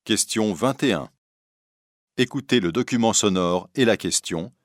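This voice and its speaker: Casting: male test announcer, low-pitched, dry studio recording, reading scripted exam instructions. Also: unhurried, no echo.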